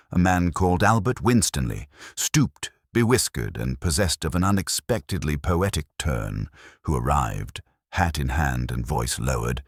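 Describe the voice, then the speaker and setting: A man narrating a scripted mystery story in a dry, droll manner with deliberate phrasing. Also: rumbling low, no echo.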